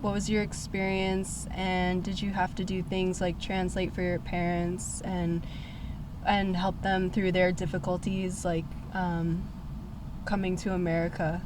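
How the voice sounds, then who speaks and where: A woman's voice: fairly low pitch, level tone, a little slow, background noise. A woman hosting an interview from inside a car, asking friendly, direct questions.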